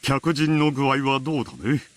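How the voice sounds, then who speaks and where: A male actor as a dignified, middle-aged head of a household in a visual novel game. He is formal and commanding, with a medium-pitched voice and quick delivery. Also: level tone, dry recording, lightly acted. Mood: concerned.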